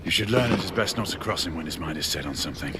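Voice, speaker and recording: An actor playing a gruff, loyal Victorian knight with a dry, terse way of talking, in a video game. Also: male voice, sits low, expressive, rapid-fire. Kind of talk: dramatic video game acting. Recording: faint background noise.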